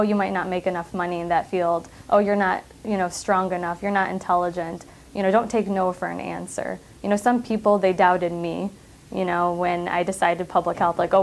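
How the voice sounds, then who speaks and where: Earnest young woman, Michigan-raised, Arabic first language, low steady voice, interview talk. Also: a little hiss or hum. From Michigan, USA. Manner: earnest, with frequent "you know" fillers. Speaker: clinic director. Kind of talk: interview monologue.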